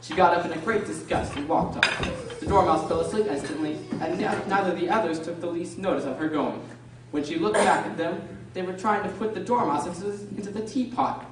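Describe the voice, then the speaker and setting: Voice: mid-range voice, a little fast. Narrator for radio drama: scripted storytelling, distant mic, strong room echo and clattering background. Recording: echoey hall, distant mic, clattering noise.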